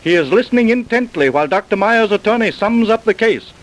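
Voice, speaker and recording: A man's voice: high voice, expressive, fairly quick, poor audio. A man giving formal, dramatic scripted narration for an old-time radio crime drama.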